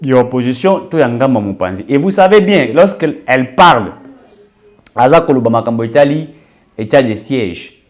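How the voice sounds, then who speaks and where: A man's voice: medium pitch, lively intonation, moderate pace. A man with a Congolese French accent hosts an online news show, reading headlines and commenting with frequent fillers and restarts. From Democratic Republic of Congo. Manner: mixes French with Lingala, hesitant fillers and restarts.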